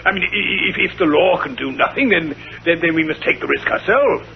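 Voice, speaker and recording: A man playing an earnest, puzzled British gentleman, a detective's loyal companion in a vintage radio drama, with a creaky voice and hesitant, deferential manner. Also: on the high side, lively intonation, a little fast. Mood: alarmed, resolute. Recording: dull, lo-fi vintage radio recording.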